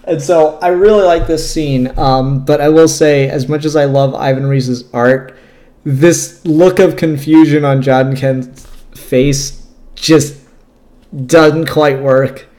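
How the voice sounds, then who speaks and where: A man's voice: a little high, fairly even intonation, slow pace, mellow, reverberant. A man giving casual, opinionated review commentary on comic books in a rambling, enthusiastic way.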